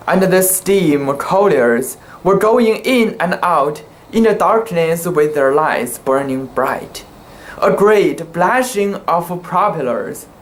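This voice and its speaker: Man, non-native English, higher-than-average voice, slow pace, halting dramatic reading of literary sea fiction. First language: not English. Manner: halting, careful delivery with occasional stumbles. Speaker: amateur reader.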